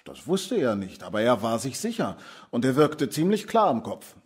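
A man's voice: mid-range voice, very animated, moderate pace, darkish tone, breathy edge. An actor playing an earnest police officer reporting to his superior in a crime radio drama. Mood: matter-of-fact.

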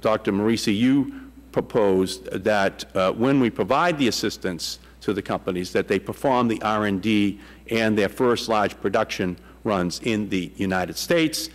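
A man's voice: on the low side, measured pace, in a large hall. A man in his sixties chairing a congressional hearing, asking questions with many fillers and restarts, speaking into a desk microphone in a large hearing room. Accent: Boston. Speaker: committee chairman.

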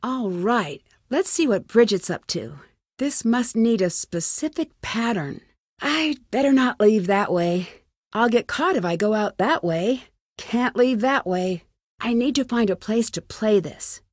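A woman's voice: on the high side, expressive, a little slow, no echo. Inquisitive young female sleuth for an adventure game: earnest, plucky musing to herself, close dry sound. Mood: focused. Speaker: lead character in a detective adventure game. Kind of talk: scripted game dialogue, thinking aloud.

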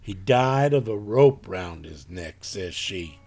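A man playing a plain-spoken rural farmer in a live audio drama, telling his story in a folksy, halting way. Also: deep voice, animated, a little mellow, exaggerated voice. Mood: unsettled.

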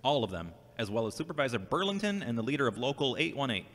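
Casting: dry, reserved man played by an actor, mid pitch but varied intonation, reverberant sound. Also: very animated, fast, a bit bright. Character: wry, guarded, reflective man, dryly formal. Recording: echoey hall-like reverb.

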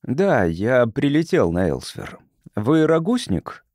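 A man voicing a calm, curious sociologist from Earth in an audiobook, measured and polite. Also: mid-range voice, no echo, studio quality, exaggerated voice.

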